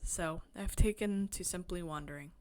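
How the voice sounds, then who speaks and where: A woman game streamer expressively reading a visual novel aloud, breaking into excited, chatty asides. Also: on the low side, normal speed. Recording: music behind the voice. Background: game music.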